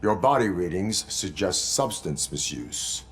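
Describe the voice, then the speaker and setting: An actor playing a stern male cyborg police officer in a video game, speaking in clipped, monotone interrogations. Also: deep voice, a little slow. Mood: cold. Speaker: cyborg cop protagonist.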